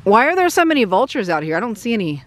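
A woman's voice: mid-range voice, big pitch swings, fast talker. A woman hosting a ghost hunting vlog, talking casually outdoors in the open. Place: outdoors in an open rural cemetery.

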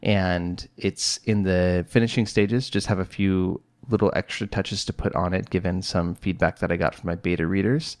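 A man's voice: sits low, steady tone, moderate pace, few pauses. A middle-aged man hosting a solo podcast, talking casually and rambling with lots of fillers and restarts. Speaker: podcast host, psychologist.

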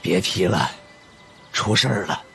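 A man acts out an elderly village grandfather in an audiobook tale. His voice is fairly low, and he speaks with troubled concern. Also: expressive, a little slow, gravelly, pushed and bright, soft background music, exaggerated voice.

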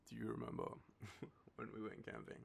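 A young Australian man playing an affectionate, nostalgic boyfriend in an intimate roleplay, talking to the listener in a halting, teasing way. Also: lowish voice, vocal fry, husky, pitched above the performer's own voice, heavily acted. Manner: hesitant, soft chuckles, halting pauses. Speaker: audio roleplay performer.

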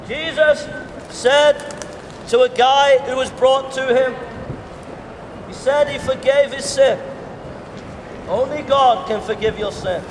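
Street preacher guy, really high voice, slow and repetitive, far off with echo and traffic noise. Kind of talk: open-air street sermon. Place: pedestrian shopping street outdoors. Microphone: far camera. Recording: roomy outdoor sound, distant reverb, clear street noise. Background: town street ambience and passing traffic.

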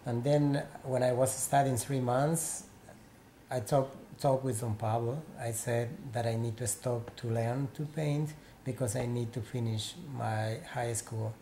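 A man in his forties speaks English with a Peruvian Spanish accent, pausing and restarting as he searches for words. His voice is dark and mellow, recorded close on a webcam in a small room, with a faint hiss behind it. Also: medium pitch, fairly even intonation. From Peru. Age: 40s. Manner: halting English with many pauses and restarts. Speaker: interviewee, painter. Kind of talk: casual interview. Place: small room with painted walls, indoors.

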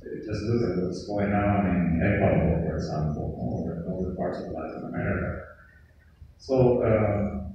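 A middle-aged man from Peru answering audience questions at a podium in a large auditorium, speaking in a measured, scholarly way with frequent pauses. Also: deep voice, muffled recording. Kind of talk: lecture Q&A. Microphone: podium mic recorded by a far camera. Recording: echoey hall from far off, faint hiss.

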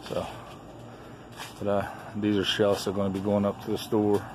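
Low-voiced man, casual handheld vlog. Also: completely flat delivery, moderate pace. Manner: laid-back, folksy, short offhand phrases. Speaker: toy collector and store owner hosting a vlog. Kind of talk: casual handheld show-and-tell commentary. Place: outdoors in a backyard and cluttered storage shed. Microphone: handheld phone behind the camera. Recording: clearly noisy outdoor phone recording.

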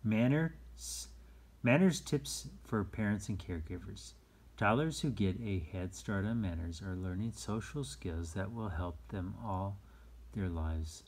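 Slow-talking man reading to kids, slightly husky. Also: fairly low pitch, darkish tone. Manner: simple child-directed phrasing, encouraging. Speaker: read-aloud storyteller for children. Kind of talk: picture-book read-aloud for young children.

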